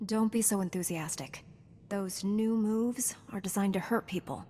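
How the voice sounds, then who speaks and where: An actress playing a calm, principled Jedi warrior in a video game, measured and serious. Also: female voice, medium pitch, in a large hall, a little hiss or hum, natural voice. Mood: grave, admonishing. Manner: measured, serious, gently admonishing.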